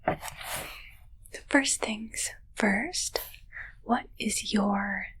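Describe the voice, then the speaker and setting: A woman in an ASMR roleplay voicing a soft-spoken, awkward alien posing as a ship's doctor, mostly whispering with halting, politely formal delivery. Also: medium pitch, poor audio. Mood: hesitant, curious.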